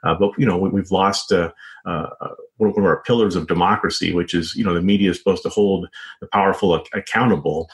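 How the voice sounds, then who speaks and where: A man with a low voice talks as a guest author in an interview. He explains things at length in a conversational way, stumbling a little and padding his sentences with "you know" and "sort of.". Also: fairly even intonation, a little fast. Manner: hesitant, wordy, frequent "you know" and "sort of". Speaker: guest, investigative journalist and author.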